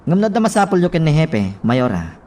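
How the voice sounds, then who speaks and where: A man's voice: a little high, big pitch swings, a little fast, a little mellow, slight background noise. A man playing a deferential underling eager to please in a radio drama, with an Ilocano accent. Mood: dutiful.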